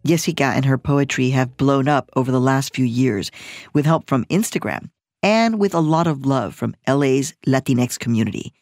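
A woman's voice: rumbling low, expressive, normal speed, a little mellow, no echo, clean studio sound. A woman hosting a radio program, narrating a playful story from a field trip in scripted voice-over. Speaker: radio host. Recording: narration with music underneath.

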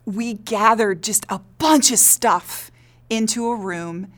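A woman's voice: medium pitch, slow pace. A woman interviewee telling anecdotes in casual interview talk. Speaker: theater director, interviewee.

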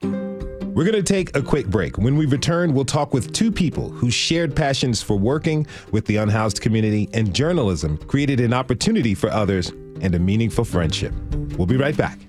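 A man's voice: mid-range voice, lively intonation, moderate pace, music in the background. A man hosting a public radio show, presenting and interviewing guests.

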